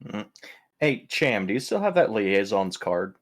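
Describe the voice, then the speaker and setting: Low-voiced man at a game table. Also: very animated, unhurried. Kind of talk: casual game table chat.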